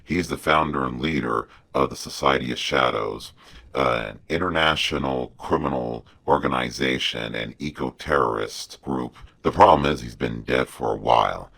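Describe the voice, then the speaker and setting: A man voicing a grim, commanding masked vigilante in a terse, stern, gravelly growl while telling a story. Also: extremely low pitch, normal speed, fairly bright tone, voice pushed down, exaggerated voice. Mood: grim, measured. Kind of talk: amateur storytelling character voice.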